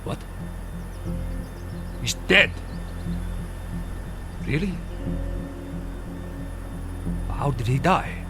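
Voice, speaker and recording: An actor playing a pompous, menacing dictator and general, speaking in an imperious, theatrical manner in an audio drama. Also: male voice, medium pitch, animated, very slow, music in the background. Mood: surprised, probing.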